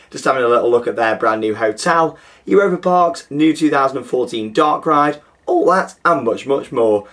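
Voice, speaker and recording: A young man hosting a theme park news show, his voice groggy and hoarse, chatting enthusiastically with plenty of fillers. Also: a little high, fairly bright tone. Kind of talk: casual scripted news presenting.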